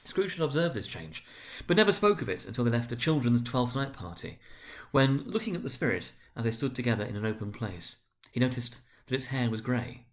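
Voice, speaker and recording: A man narrating a literary story dramatically, with deliberate, expressive phrasing. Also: mid-range voice.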